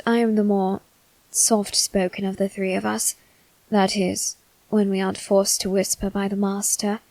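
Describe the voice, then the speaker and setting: A woman voicing a soft-spoken, politely teasing male demon servant in an ASMR roleplay, with formal manners. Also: medium pitch, dry recording, low-quality recording. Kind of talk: ASMR roleplay addressed to the listener.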